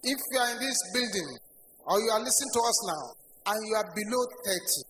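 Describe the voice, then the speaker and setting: Older male pastor shouting prayers in a hall. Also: high voice, expressive, fairly quick. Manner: shouted commands, leads congregation in prayer; speaks in tongues between prayers. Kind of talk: fiery prayer session sermon. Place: large church hall. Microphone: stand microphone at pulpit. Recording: echoey hall with noisy congregation.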